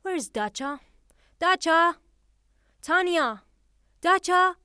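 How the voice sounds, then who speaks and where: An actress playing a proud, passionate young widow in a theatrical audio drama, haughty and dramatic in manner. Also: female voice, extremely high pitch, expressive, extremely slow, sharp tone, no room sound, clean studio sound, full character voice. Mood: calling urgently.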